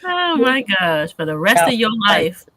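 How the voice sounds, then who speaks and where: A woman's voice: mid-range voice, big pitch swings, measured pace, sharp tone, dry recording. A woman co-hosting a casual chat, teasing and laughing a lot as she talks.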